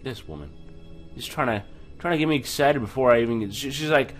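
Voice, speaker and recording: A man's voice: mid-range voice, quick pace. A man hosting a let's play, reading game narration aloud and adding casual, joking commentary. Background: music.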